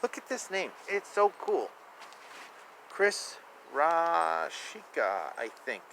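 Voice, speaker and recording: A man reading children's picture books aloud in a playful, expressive way, with casual asides and repeated phrases. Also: a little high, slow, gravelly. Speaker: children's book reader. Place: outdoors. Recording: noisy outdoor ambience. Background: outdoor ambience, animal and vehicle sounds.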